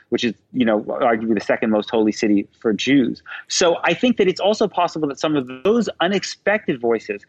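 A man who writes, answering as a podcast guest in a thoughtful, measured way, qualifying his points as he goes. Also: mid-range voice, lo-fi sound. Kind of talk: interview answers.

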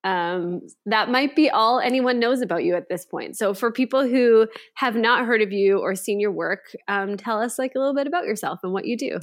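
A woman's voice: a little high, animated, moderate pace, few pauses. Chatty woman hosting a podcast. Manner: chatty, laughs easily. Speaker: podcast host. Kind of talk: casual interview chat.

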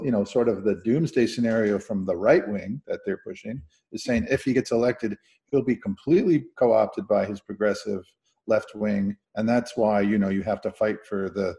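Man, creaky low voice, mellow tone, measured podcast analysis. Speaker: podcast panelist. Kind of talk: conversational political analysis.

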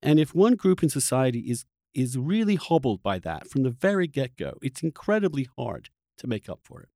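A man's voice: medium pitch, sing-song, fairly quick, dark tone, dry recording, studio quality. A man hosting a reflective long-form interview, who feels his way through his thoughts and often restarts phrases mid-sentence. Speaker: podcast host interviewing a guest.

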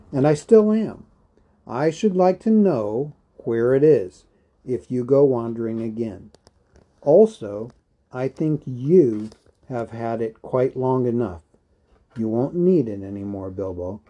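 Man acting old male wizard, grave, unhurried, thoughtful, firm, storybook character. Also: medium pitch, warm tone, close to an everyday voice. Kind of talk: dramatised storybook reading.

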